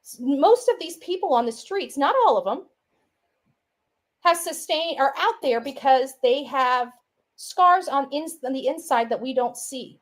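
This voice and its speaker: Higher-pitched woman, rambling and opinionated. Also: expressive, measured pace. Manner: rambling, repeats herself, says "you know" and "right" often. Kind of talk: casual opinionated monologue.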